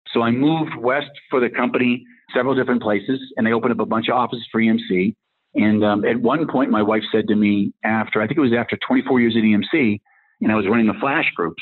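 A man in his fifties with a faint Massachusetts accent talks quickly through his career as a tech executive, rambling from one anecdote to the next. His voice is husky, and it comes through a narrow, phone-like line. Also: mid-range voice. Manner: rambling, acts out dialogue in anecdotes. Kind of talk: casual career storytelling.

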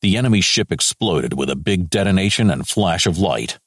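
A man reads an older general jotting down his war diary, clipped and matter-of-fact. The voice sits very low. The recording is close and dry. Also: expressive, moderate pace, a bit bright, dry recording. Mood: terse. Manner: clipped diary-note delivery. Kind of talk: reading a wartime diary aloud.

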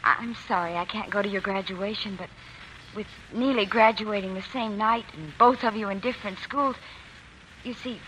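A woman plays a stoic, weary working-class mother in an old radio play. Her voice is medium-pitched and bright, with faint noise on the vintage 1940s recording. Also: fairly even intonation, normal speed, lightly acted, low-quality recording. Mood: tender, apologetic. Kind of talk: 1940s radio drama acting.

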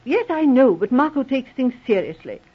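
A lively society wife from a 1940s radio play, effusive and affectionate. Her genteel voice has a slightly rough edge and comes through a dull old broadcast. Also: high voice, normal speed, exaggerated voice. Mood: affectionate, persuading. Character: gushing upper-class colonel's wife, affectionate. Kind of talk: 1940s radio drama acting.

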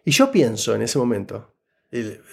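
A man from Buenos Aires with an Argentine Spanish accent, an interview guest telling a story and giving job-interview advice in an animated, explanatory way that keeps checking in with the listener. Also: medium pitch, moderate pace, creaky, slightly rough. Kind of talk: casual conversational storytelling.

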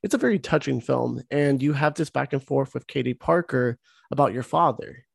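A man's voice: mid-range voice, lively intonation, moderate pace, creaky, slightly rough, dry recording, studio quality. A man hosting an interview, gushing about a film in rambling, casual speech full of "like". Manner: gushing, rambling, heavy use of "like". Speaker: interview host.

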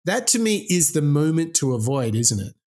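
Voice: medium pitch, big pitch swings, moderate pace, a little mellow. A podcast host in casual conversation who picks up the guest's points and builds on them. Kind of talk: casual interview talk.

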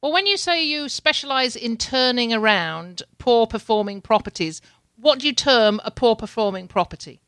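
A woman's voice: fairly high pitch, lively intonation, moderate pace, a bit bright, clean tone, dry recording. A woman hosting a casual interview, talking in a chatty way with frequent repeated words and light laughs. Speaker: podcast host. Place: home in Canada.

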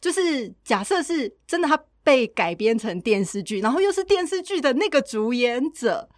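A woman podcast host with a Taiwanese Mandarin accent talks casually and with enthusiasm. Her voice sits fairly high and swings with lively intonation. The sound is dry and close, with very little room. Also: normal speed, dry recording.

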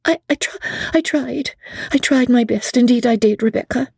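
A woman voicing a gentle, timid young widow who speaks in trembling, halting words. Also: on the high side, gravelly, slightly rough, no room sound, studio quality, voice pushed up. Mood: pleading.

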